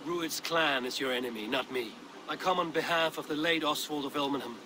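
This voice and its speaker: An actor playing a resolute Viking warrior leader in a video game cutscene, speaking firmly and plainly. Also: male voice, fairly high pitch, a little fast, background noise, close to an everyday voice. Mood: firm, earnest. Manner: firm, decisive, plain-spoken.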